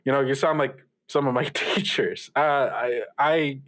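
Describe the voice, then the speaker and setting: A man's voice: mid-range voice, normal speed, fairly bright tone, close to an everyday voice. A man playing an apologetic student radio host, stammering and placating in a casual improvised audio drama.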